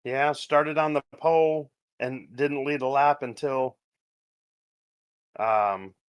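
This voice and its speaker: A man who just won a race, chatting casually in a relaxed, modest and thankful way. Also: medium pitch, slow, clean tone. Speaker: winning race driver. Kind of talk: casual post-race interview.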